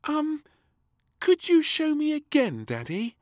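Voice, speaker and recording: A man voicing a fussy, gleeful little girl princess in a children's storybook, with whiny, drawn-out, exaggerated delivery. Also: very high voice, huge pitch swings, darkish tone, no echo, clean studio sound, pitched above the performer's own voice, heavily acted. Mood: sly, coaxing. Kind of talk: read-aloud children's storybook.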